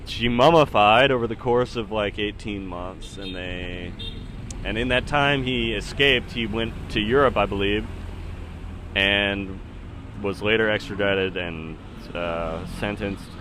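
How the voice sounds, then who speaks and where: A man's voice: on the low side, measured pace, noisy background. A man in his thirties giving casual, rambling spoken commentary in a hesitant, musing way that often trails off. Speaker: solo podcast host.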